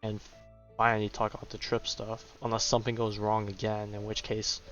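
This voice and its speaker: A man's voice: fairly low pitch, completely flat delivery, a little fast, a bit bright, lo-fi sound, faint music behind. A man giving casual, chatty live commentary as he streams a video game.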